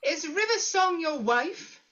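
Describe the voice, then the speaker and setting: Woman acting young female companion, teasing, inquisitive, high voice, swooping intonation, slightly muffled recording, drama read-through. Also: animated, slow, fairly bright tone, exaggerated voice. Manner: teasing, pressing questions. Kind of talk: script table read.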